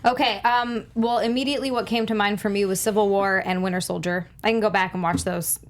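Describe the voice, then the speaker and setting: A woman's voice: mid-range voice, fairly even intonation, fairly quick. A woman chatting casually as a panelist on a movie news talk show. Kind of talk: casual chat.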